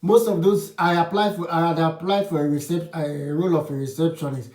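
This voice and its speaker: A man's voice: a little high, fairly even intonation, a little fast, darkish tone. A man giving casual advice to his channel's viewers, frank and opinionated, often restarting his sentences. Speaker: vlogger. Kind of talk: casual advice talk to camera. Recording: roomy echo, distant from the mic.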